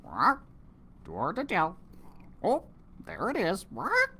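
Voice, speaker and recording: A woman's voice: medium pitch, huge pitch swings, slow, slightly rough, exaggerated voice. A woman voicing a demanding pet parrot that squawks and repeats its phrases. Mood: squawky. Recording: loud background noise.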